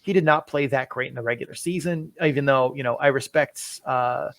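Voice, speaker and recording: A man's voice: mid-range voice, a little fast, creaky, a bit bright, no echo. A young man co-hosting a hockey podcast, chatting casually and rattling off lists of players. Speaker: podcast co-host.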